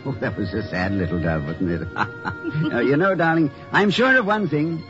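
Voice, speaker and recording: Casting: male actor as doting, dreamy college president, flustered and effusive, very animated delivery, vintage radio drama sound with music behind. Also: mid-range voice, normal speed, lightly acted. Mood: tender, playful. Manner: flustered stammering, effusive, genteel turns of phrase. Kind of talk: 1950s radio acting. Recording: muffled old radio broadcast with music.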